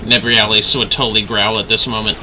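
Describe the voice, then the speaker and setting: A man's voice: fairly low pitch, flat delivery, a little fast, background noise. A man giving casual, irreverent livestream commentary on a video game, with salty muttered asides.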